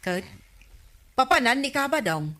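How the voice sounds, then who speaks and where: A woman's voice: sits high, very animated, measured pace, sharp tone, exaggerated voice. A woman playing a chatty, nosy village neighbour in an Ilocano comedy radio drama, gossipy and exclamatory. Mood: cheerful, curious. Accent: Filipino, Ilocano.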